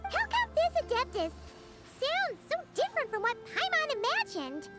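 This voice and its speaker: An actress playing a tiny, childlike, excitable fairy companion in a video game, squeaky and exclaiming. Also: female voice, normal speed, music in the background, exaggerated voice. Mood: startled, puzzled. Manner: squeaky, exclaiming, refers to self by name.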